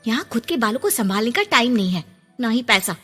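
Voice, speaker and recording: A resentful young cartoon daughter-in-law, played by a woman, sarcastic and complaining. She speaks high and very expressively, in a reverberant sound with music underneath. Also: big pitch swings, fairly quick, pushed and bright, breathy edge, heavily acted.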